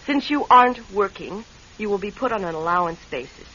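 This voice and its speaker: Imperious rich wife, bright hard voice. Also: female voice, mid-range voice, lively intonation, fairly quick. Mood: angry, stern. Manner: crisp, commanding, formal. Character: imperious wealthy businesswoman wife, cold and controlling. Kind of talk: old-time radio drama acting. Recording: dull, hissy vintage radio recording.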